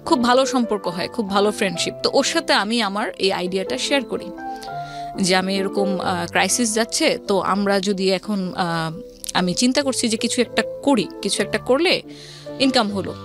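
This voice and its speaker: A woman's voice: mid-range voice, moderate pace. A woman with a Bangladeshi accent telling her personal story conversationally as an interview guest, mixing in English words. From Old Dhaka, Bangladesh. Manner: mixes English words into speech. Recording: music playing behind the voice. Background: background music.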